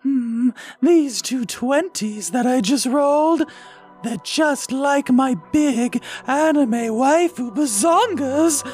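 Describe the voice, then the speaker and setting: Man as cocky gamer bard, high voice, slow dramatic delivery, self-assured, horny, animated comedy, music behind. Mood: smug.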